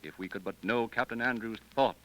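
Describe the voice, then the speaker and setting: A man's voice: mid-range voice, fairly quick, a bit bright, slight background noise, rough recording. A man narrating in the theatrical, emphatic style of a 1930s radio drama. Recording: old 1930s radio broadcast, music behind. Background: orchestral dramatic music.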